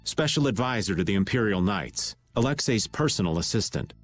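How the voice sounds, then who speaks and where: A man's voice: on the low side, expressive, fairly quick, lightly acted. An actor playing a laid-back, middle-aged male archer who drawls and cracks jokes. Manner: drawling, wisecracking. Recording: game music underneath.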